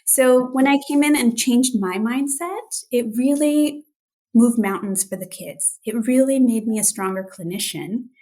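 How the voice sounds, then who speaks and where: High-pitched woman telling her professional story. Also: darkish tone. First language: Urdu and Hindi besides English. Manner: earnest, quotes others' remarks in dialogue. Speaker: guest speech-language pathologist. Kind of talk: podcast interview, personal storytelling.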